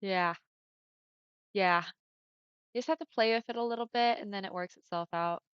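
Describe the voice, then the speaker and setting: A young woman streamer chatting casually with her viewers, friendly and often laughing, with a smooth voice on the deeper side for a woman. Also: measured pace, dry recording. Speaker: livestreamer. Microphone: headset mic. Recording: dull lo-fi headset mic.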